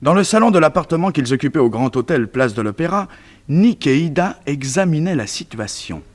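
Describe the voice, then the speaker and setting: An actor playing the narrator of a vintage French radio crime serial, telling it with dramatic suspense. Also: male voice, on the high side, expressive, a little fast. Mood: suspenseful. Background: music.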